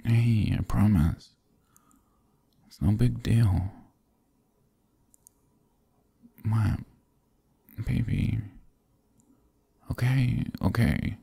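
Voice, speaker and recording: A man voicing a stubborn but affectionate sick young boyfriend in an intimate ASMR roleplay, soft, husky and drowsy, murmuring close to the mic. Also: lowish voice, drawn-out words, pauses often, no room sound, heavily acted. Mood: reluctant, reassuring. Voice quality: husky, gravelly, breathy. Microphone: close, dry microphone.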